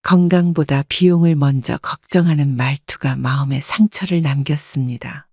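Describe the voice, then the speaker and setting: A woman narrating a first-person life story in a calm, measured, reflective way. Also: low voice, no echo, studio quality.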